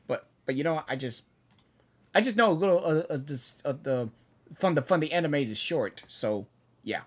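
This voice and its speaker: A woman reacts to a game story in loose, rambling chat. Her voice is husky, with a pitch that swings up and down as she gets excited. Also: normal speed. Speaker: game reaction video host. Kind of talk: casual reaction commentary.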